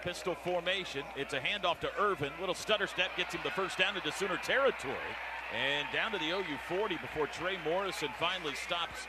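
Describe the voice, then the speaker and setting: American male play-by-play radio caller, higher register, terse urgent phrasing, noisy stadium broadcast. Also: brisk, over a noisy crowd. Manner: clipped, urgent running description. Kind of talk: live American football radio play-by-play commentary. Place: outdoor football stadium. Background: steady stadium noise.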